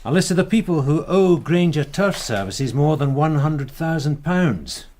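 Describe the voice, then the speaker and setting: Wry British detective sergeant, played by an actor, lively intonation. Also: male voice, fairly high pitch, moderate pace, a little hiss or hum, close to an everyday voice. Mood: matter-of-fact. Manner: wry, matter-of-fact. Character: loyal detective sergeant, wry, plodding, susceptible to women. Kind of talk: British radio crime drama acting.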